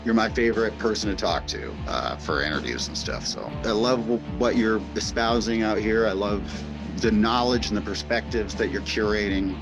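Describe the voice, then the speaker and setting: A man's voice: fairly low pitch, moderate pace, music in the background, rough recording. A man who was a podcast guest, offering effusive praise in a recorded clip. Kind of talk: recorded thank-you clip.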